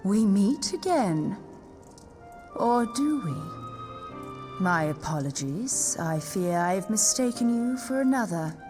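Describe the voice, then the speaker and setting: Slow, mysterious female fantasy investigator. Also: mid-range voice, very animated. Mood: polite, curious. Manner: formal archaic diction, measured and pensive. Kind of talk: fantasy game cutscene acting. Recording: music under the voice.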